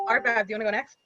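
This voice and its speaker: A woman moderating a casual online student panel, upbeat and quick to react. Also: a little high, pushed and bright, lo-fi sound. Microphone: video call.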